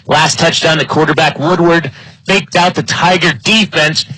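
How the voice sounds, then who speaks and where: A man with a bright, forceful, fairly high voice calls football live. He works in short, clipped phrases as a play-by-play announcer, and the sound is close and dry. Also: moderate pace, dry recording, low-quality recording. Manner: clipped phrases, building excitement on big plays. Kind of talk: live sports play-by-play commentary.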